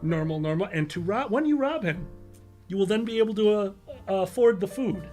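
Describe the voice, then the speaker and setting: A man's voice: high-pitched, brisk, dark tone, faint music behind. A man hosting a comedy podcast, telling stories in casual banter with emphatic, profane delivery.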